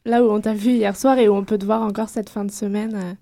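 A woman's voice: mid-range voice, steady tone, fast, creaky, dark tone. A woman, interviewing a guest in a conversational, prompting way. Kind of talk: radio or TV interview questions.

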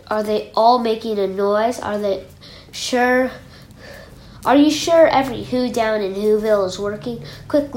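A woman's voice: fairly high pitch, darkish tone, lightly acted. A woman voicing an earnest, kindly, loyal male elephant for a storybook, pleading and urging. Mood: urging, questioning. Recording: roomy echo with clear background noise.

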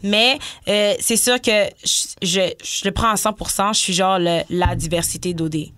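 A woman's voice: mid-range voice, steady tone, normal speed, fairly bright tone, no room sound, studio quality. A young woman with a Quebec French accent talking casually as an interview guest, emphatic and slangy, mixing in English words. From Quebec, Canada. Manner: emphatic, slangy, sprinkles English words, often seeks agreement. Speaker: guest, former reality show contestant.

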